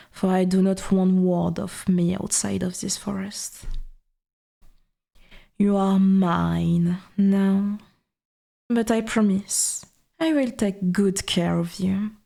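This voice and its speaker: An ancient female forest spirit voiced by a woman speaks in a dark, mellow voice with a gravelly creak. Her delivery is unhurried and formal, haughty and possessive, as suits a dominant god in an ASMR audio roleplay. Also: mid-range voice. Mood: possessive, commanding, then gently caring. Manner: slow, formal, archaic, condescending speech.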